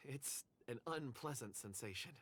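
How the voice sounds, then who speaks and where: A polite, forgetful young man in a video game. He sounds anxious and hesitant, apologetic in manner, with a very mobile, expressive pitch. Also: normal speed, husky. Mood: troubled. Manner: hesitant, apologetic, soft-spoken.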